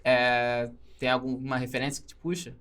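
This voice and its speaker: A man's voice: mid-range voice, little pitch movement, moderate pace, fairly bright tone, clear, resonant tone. A young man with a Brazilian Portuguese accent, chatting casually and enthusiastically as an interview guest who works in animation. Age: young adult.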